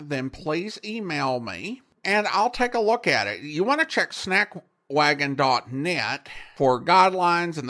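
Male American podcast host, bright forceful voice, unhurried delivery, conversational commentary. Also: a little high.

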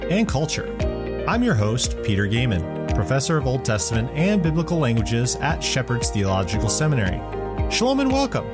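Mid-pitched man introducing podcast over guitar. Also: huge pitch swings, a little fast. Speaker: podcast host and seminary professor. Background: guitar music.

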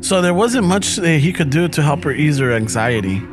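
A man's voice: fairly high pitch, animated, normal speed. Laid-back Chicano man reading a story. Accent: Chicano English with Spanish phrases. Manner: laid-back, swears often, laughs through lines. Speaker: podcast host. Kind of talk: casual chat, reading listener stories. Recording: music playing underneath.

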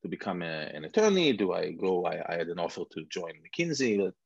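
Man, israeli accent, husky lowish voice, rambling casual talk, dull lo-fi call audio. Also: very animated, brisk, dry recording. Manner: rambling, self-mocking, many restarts. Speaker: guest entrepreneur. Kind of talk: casual interview chat. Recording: dull muffled lo-fi sound.

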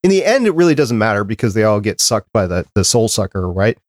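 A man's voice: lowish voice, sing-song, fast, no echo. Guy hosting a podcast, casual review talk, asks questions, super dry audio. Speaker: podcast host. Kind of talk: casual panel discussion, reviewing a cartoon.

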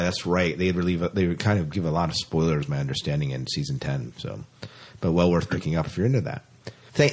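A man with a low, husky voice co-hosts a fan podcast. He talks in a casual, conversational way and tends to repeat a phrase when he wants to stress it. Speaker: podcast co-host.

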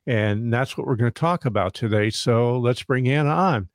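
A man's voice: medium pitch, normal speed, dry recording. A man hosting a podcast interview, talking in a friendly, rambling way and often restarting his sentences. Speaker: podcast host.

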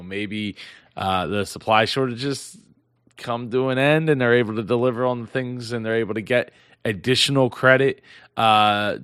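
A man's voice: mid-range voice, a bit bright, clear, resonant tone, dry recording, clean studio sound. A man hosting a business news show, reading headlines and commenting with many restarts while losing his voice. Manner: rambling, many restarts and fillers. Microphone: close mic.

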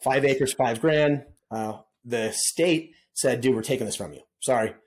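A man's voice: mid-range voice, measured pace. A middle-aged man telling stories as a podcast guest in a casual, laid-back way, often saying dude and man. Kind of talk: casual storytelling interview.

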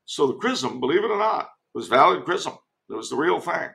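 A man's voice: fairly high pitch, very animated, a little fast, vocal fry, fairly bright tone. A man giving casual historical commentary as a podcast guest, digressive and given to wry asides.

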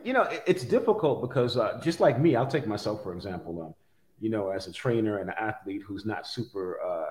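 A man interviewing casually, with an informal, halting way of talking and often repeated words. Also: fairly low pitch. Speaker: interviewer, athletic trainer. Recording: echoey room.

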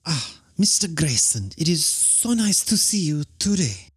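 Woman voicing a polite, breathy coworker. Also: low voice, big pitch swings, a little slow, dry recording, studio quality, heavily acted. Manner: polite, deferential.

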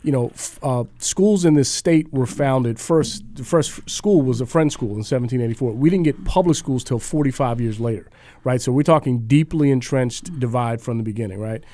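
A man speaking as a radio panel guest, halting with frequent restarts. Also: medium pitch, warm tone. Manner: halting, many restarts and fillers. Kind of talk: casual discussion.

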